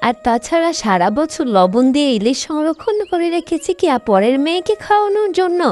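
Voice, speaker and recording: Cartoon village mom, female actor: high, animated pitch, sharp tongue, expressive. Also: brisk, faint music behind. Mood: scolding. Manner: scolding, expressive.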